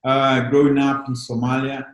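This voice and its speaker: A Brazilian-accented man in his forties whose first language is Portuguese hosts a casual podcast chat, hesitant and full of fillers. His voice is mid-pitched and carries a roomy echo. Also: flat delivery, moderate pace. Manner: hesitant, many fillers, laughs softly. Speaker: podcast host.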